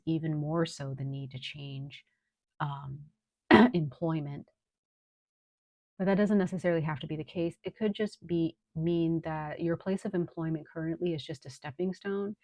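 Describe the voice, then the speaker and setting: A woman reading tarot for her viewers, slightly hoarse from a cold, unhurried and reassuring, with frequent ums. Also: deep voice, a little mellow, no room sound, muffled recording. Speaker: tarot reader.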